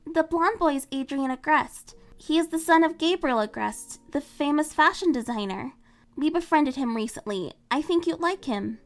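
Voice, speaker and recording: A woman voicing a cheerful, eager teenage girl heroine who talks in a bubbly, excitable way. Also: sits high, moderate pace, pitched above the performer's own voice. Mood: upbeat.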